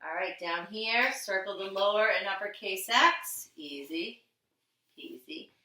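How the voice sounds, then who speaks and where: A woman's voice: mid-range voice, expressive, unhurried, pushed and bright, distant mic. A woman teacher giving a patient handwriting lesson to young children, in a sing-song way with simple repeated phrases and questions. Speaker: primary school teacher. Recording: echoey room, voice away from the mic.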